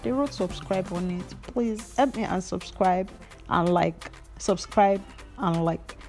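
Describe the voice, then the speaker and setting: A young Nigerian woman with a Nigerian accent, a hair tutorial vlogger, mixes slow step-by-step instructions with playful, gossipy storytelling. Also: mid-range voice, soft background music. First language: Yoruba. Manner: animated, playful, jumps between slow instructions and gossipy asides.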